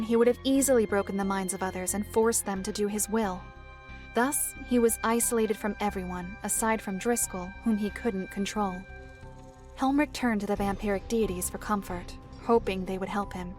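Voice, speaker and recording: A solemn storyteller, played by a woman, telling dark fantasy lore in a middle-pitched voice. The delivery is formal and measured, like a scripted visual novel narration, with faint music underneath. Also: steady tone, natural voice.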